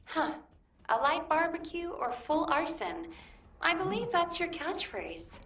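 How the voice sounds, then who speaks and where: An actress playing a composed, analytical mission operator in a video game, measured and formal. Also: female voice, extremely high pitch, very animated, fairly bright tone, full character voice. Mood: dry. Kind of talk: video game support character acting. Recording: echoey, distant game audio, faint noise.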